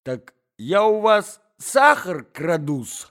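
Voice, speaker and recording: A man voicing a squealing, indignant middle-aged provincial gentlewoman. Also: on the high side, big pitch swings, slow pace, clean tone, pitched above the performer's own voice, exaggerated voice. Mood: shrill outrage.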